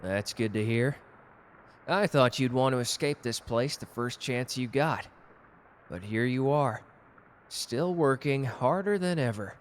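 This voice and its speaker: Male actor as cocky gallant gentleman, medium pitch, intimate teasing delivery, protective, romantic audio roleplay, some background noise. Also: a little slow, close to an everyday voice. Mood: teasing, friendly. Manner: suave, teasing, intimate one-sided conversation. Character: cocky, gallant, protective male loyal customer flirting with tailor. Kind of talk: romantic roleplay audio drama addressed to listener.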